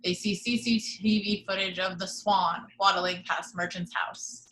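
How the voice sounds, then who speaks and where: A woman's voice: medium pitch, steady tone, unhurried, fairly bright tone, poor audio. Woman reading stage directions aloud. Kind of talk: reading action description. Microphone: video call.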